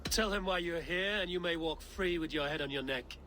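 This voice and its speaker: A man's voice: a little high, fairly quick, sharp tone, a little hiss or hum. A man playing a hard, blunt Viking warrior in a video game, speaking in curt, commanding threats. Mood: menacing. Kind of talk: dramatic video game cutscene acting.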